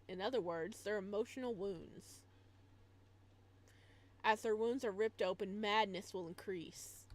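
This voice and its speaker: A woman live-streaming a game in a casual style, reading on-screen text aloud and adding chatty asides. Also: mid-range voice.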